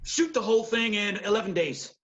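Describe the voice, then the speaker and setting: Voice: mid-range voice, a little fast, fairly bright tone, lo-fi sound, lightly acted. An actor playing a blunt, stern, profane studio executive in a screenplay table read. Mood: alarmed, demanding.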